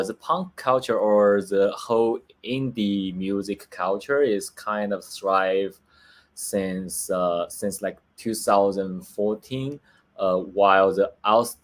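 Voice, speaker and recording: Slow, halting man with a Chinese accent. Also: lowish voice. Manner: halting, searching for words, many restarts. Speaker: film director. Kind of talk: remote interview. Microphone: video call.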